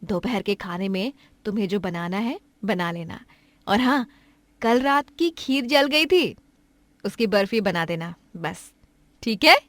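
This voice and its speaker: A woman voicing a kind, generous lady of the house in a cartoon moral story, chatty and gently chiding. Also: medium pitch, expressive, a little slow, no echo. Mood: generous, friendly.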